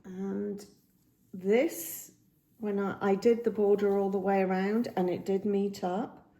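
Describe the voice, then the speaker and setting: A woman with a slightly croaky voice chats casually about her cross stitch projects, rambling with many ums and restarts, filmed on a phone at home. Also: mid-range voice, little pitch movement, takes it slowly, mellow. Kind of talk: casual show-and-tell chat. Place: indoors at home by a window. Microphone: phone camera.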